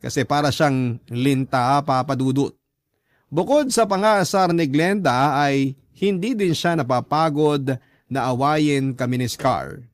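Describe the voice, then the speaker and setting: A man's voice: medium pitch, a little slow, dry recording, studio quality. A man with a Filipino accent reading a first-person story as the narrator of a radio drama.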